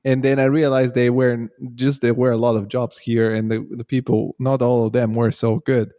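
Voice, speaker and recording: A young man speaks in an even, mellow voice with little rise and fall. He chats easily as the host, and the sound is dry and close. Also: mid-range voice, moderate pace, dry recording. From Bulgaria, raised in Italy. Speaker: podcast host. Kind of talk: podcast interview.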